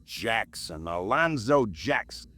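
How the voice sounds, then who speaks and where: A man's voice: on the low side, sing-song, slow pace. An American man playing a surly, defensive burglary suspect in a 1950s radio crime drama, streetwise, impatient and grudging.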